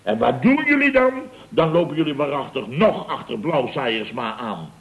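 An actor playing a pompous, boastful boss in an old Dutch radio comedy, blustering and sarcastic. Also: male voice, mid-range voice, very animated, normal speed, slight background noise. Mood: indignant. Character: pompous, boastful, self-justifying male boss of a building firm. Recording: dull old radio recording.

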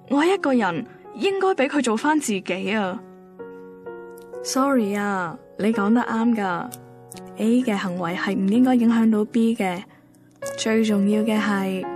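A woman's voice: a little high, lightly acted. An actress playing a caring, demanding yet tender young girlfriend in a radio drama, speaking Hong Kong Cantonese. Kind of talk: radio drama acting and first-person narration. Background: music.

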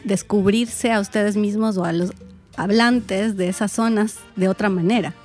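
A woman's voice: mid-range voice, steady tone, moderate pace, a little mellow, soft background music. A woman from Quito with an Andean Spanish accent, reflecting in casual conversation. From Ecuador (Quito).

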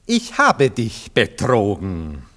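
An actor playing a vain, jealous gentleman lover in a German radio play, excitable, mocking and prone to sputtering. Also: fairly low pitch, slow, full character voice. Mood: haughty, vengeful.

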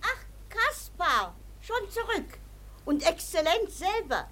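Fussy, motherly foster mother; high, sing-song voice; radio play. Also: big pitch swings, slow pace, a bit bright, heavily acted. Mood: bustling. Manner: fussy, eager, deferential. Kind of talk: radio drama acting.

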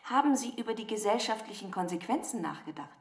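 A woman's voice: on the high side, expressive, quick pace, roomy sound. An actress playing a composed female ministry official in a German radio drama, asking probing questions in formal, precise language. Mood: challenging.

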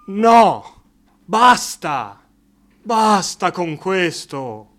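A man's voice: high voice, sing-song, a bit bright, full character voice. An actor playing a worried, protective male friend in an Italian radio drama, earnest, insistent and theatrically emphatic. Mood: desperate outburst. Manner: theatrical, drawn-out emphatic words, urgent.

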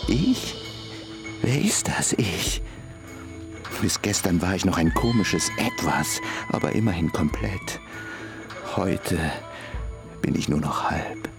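An actor playing a naive, lovestruck young ape-human student in a radio drama, dreamy, poetic and halting. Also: male voice, low-pitched, wildly swooping pitch, over music, heavily acted. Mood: forlorn. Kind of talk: German radio drama acting.

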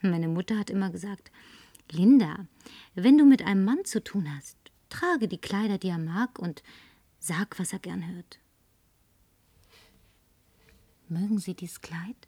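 An actress in a German radio play playing a flirtatious, tipsy, insistent career woman with coquettish, languid phrasing and breathy murmurs. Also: medium pitch, moderate pace, mellow, no echo. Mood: seductive.

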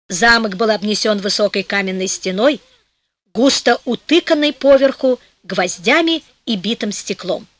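A woman narrating a fairy tale in a lively storytelling manner for an audiobook, slowing down for rhymed passages. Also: on the high side, no room sound.

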